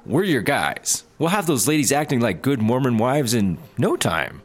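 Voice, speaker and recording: A man's voice: medium pitch, big pitch swings, moderate pace. A man playing a laid-back, perk-loving startup slacker who is eager to please, in a comedy audio drama. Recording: background ambience and noticeable noise.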